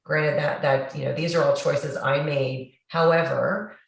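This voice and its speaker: A middle-aged woman hosting a podcast, hoarse from a cold, with a gravelly voice and thoughtful segues. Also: deep voice, steady tone, unhurried. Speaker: podcast host. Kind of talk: podcast interview. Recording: very echoey, distant from the mic.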